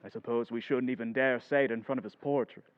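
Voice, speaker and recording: A man's voice: medium pitch, steady tone, quick pace, clear, resonant tone, muffled recording, close to an everyday voice. An actor playing an eager, slightly awkward young man, emphatic in an old-time radio drama. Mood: guarded.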